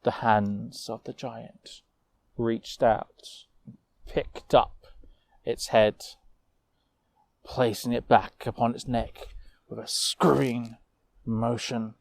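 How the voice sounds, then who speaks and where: A man narrating a fantasy tale as a storyteller, with a measured, theatrical delivery. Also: medium pitch, level tone, gravelly.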